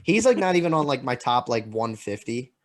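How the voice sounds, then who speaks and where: Halting, opinionated man with mid-pitched voice. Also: sing-song. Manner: halting, repetitive, peppered with "like". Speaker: podcast co-host. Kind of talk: casual opinionated chat.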